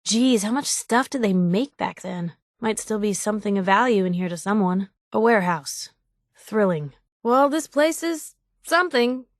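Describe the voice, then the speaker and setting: A woman's voice: mid-range voice, no room sound, studio quality, natural voice. A woman playing a wry, inquisitive young reporter companion in a video game, with an American accent and a chatty, sarcastic way of talking. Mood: sardonic disgust. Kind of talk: video game companion dialogue, conversational asides.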